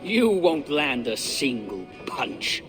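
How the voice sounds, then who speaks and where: A brash young prince. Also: male voice, mid-range voice, sing-song, takes it slowly, over music. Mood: defiant. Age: teens. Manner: mocking, defiant. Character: brash seventeen-year-old prince, rebellious.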